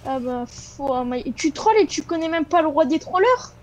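A woman's voice: sits high, expressive, moderate pace. A woman in casual game voice chat, crude and taunting, repeating her phrases. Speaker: player in online game. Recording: background game noise.

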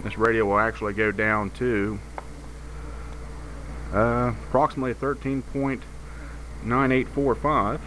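A man's voice: mid-range voice, level tone, measured pace, pauses often, a bit bright. A man giving an informal hands-on demonstration of his radio equipment, explaining hesitantly and unscripted. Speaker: amateur radio hobbyist. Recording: noisy home video with radio hiss.

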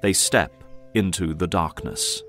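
A man narrating a superhero audio drama in a grave, measured, scripted storytelling style. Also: low-pitched, fairly bright tone, faint music behind.

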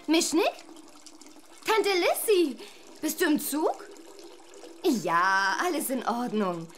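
High-voiced, sensible young girl. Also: slight background noise, heavily acted. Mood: hurried, reassuring. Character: sensible, firm young girl, affectionate but exasperated. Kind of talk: children's radio play acting.

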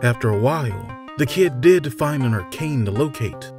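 A man narrating a scripted fantasy story in a measured, deliberate, theatrical storytelling manner. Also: medium pitch, lively intonation. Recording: music behind the voice. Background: background music.

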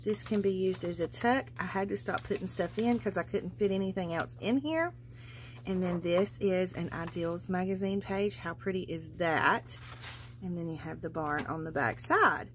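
A woman's voice: lowish voice, moderate pace, slight background noise. A woman casually narrating a flip-through of her handmade journal as a craft seller, chatty and gushing, with many fillers. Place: indoors at a craft table. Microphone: overhead camera, speaker off-screen.

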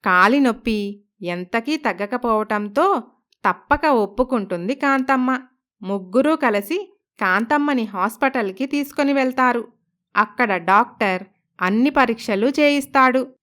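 A woman's voice: on the high side, animated, a little slow, a bit bright. A woman reading scripted narration for a moral story as its narrator.

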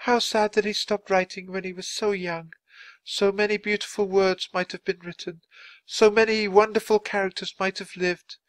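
A man's voice: high-pitched, level tone, moderate pace, fairly bright tone, no room sound, pitched above the performer's own voice. A man voicing a sorrowful young woman in mourning for a storybook, lamenting and wistful. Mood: grieving.

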